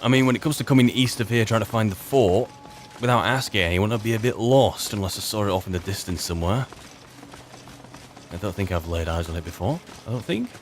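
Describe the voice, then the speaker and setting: A man's voice: lowish voice, a little fast, a little hiss or hum. A man improvising in-character commentary as he roleplays through a video game, musing and wry as he chats.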